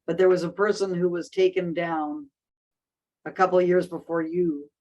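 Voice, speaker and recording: A woman's voice: on the low side, fairly even intonation, normal speed, mellow, lo-fi sound. A woman hosting a casual discussion, speaking thoughtfully with frequent restarts and fillers. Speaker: host.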